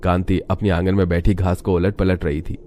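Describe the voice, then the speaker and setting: Deep, mellow male story narrator. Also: flat delivery, moderate pace, slight background noise.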